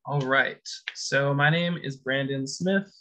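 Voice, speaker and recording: A man's voice: mid-range voice, fairly even intonation, slow pace, bright, forceful tone, a little hiss or hum. A young man from Colorado, an orientation leader, giving casual advice on a panel with an easygoing manner. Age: young adult.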